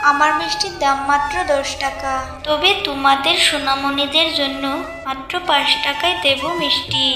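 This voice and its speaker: A woman's voice: sits high, fairly even intonation, normal speed, reverberant, over music, lightly acted. A woman voicing a sweet-talking village sweet-seller with hidden menace in a fairy-tale cartoon, coaxing in a sing-song manner. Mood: coaxing, syrupy.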